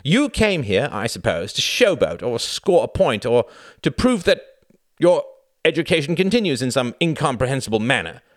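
A man's voice: fairly low pitch, huge pitch swings, normal speed, fairly bright tone, dry recording, studio quality, voice pushed up. A man voicing a haughty, spiteful, well-educated young upper-class brother in an audiobook, theatrical, sneering and condescending. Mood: cold fury. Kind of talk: audiobook character acting.

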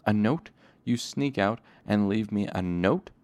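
A man voicing an excitable, easily offended young male fae servant who hisses and splutters, in a storybook read aloud. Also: deep voice, normal speed, gravelly, no echo. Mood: indignant. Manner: indignant, hissing and spluttering.

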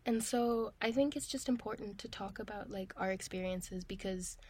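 A woman's voice: medium pitch, moderate pace, a little mellow, breathy edge. A young woman co-hosting a podcast, talking casually and hesitantly, with many filler words. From Tanzania. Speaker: podcast co-host. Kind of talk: casual chat.

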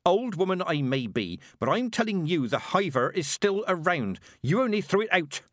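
A man's voice: a little high, expressive, moderate pace, fairly bright tone, dry recording, studio quality, pitched above the performer's own voice. A man voicing a stern, blunt, proud old country witch woman with emphatic rustic speech, in audiobook style. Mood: angry, forceful. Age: older. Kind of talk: audiobook character acting.

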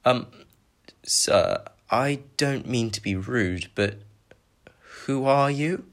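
A man voicing a timid, polite young boy who speaks haltingly and hesitantly. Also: medium pitch, pitched above the performer's own voice.

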